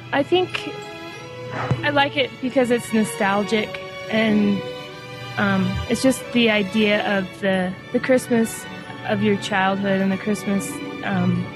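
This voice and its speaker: Slow-speaking woman over clear music. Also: mid-range voice. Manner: thoughtful, hesitant, with many fillers. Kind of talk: casual chat.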